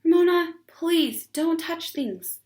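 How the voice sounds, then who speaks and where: A woman voicing an exasperated mother in a children's story, firm, brisk and emphatic with her little girl. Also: very high-pitched, darkish tone, pitched above the performer's own voice.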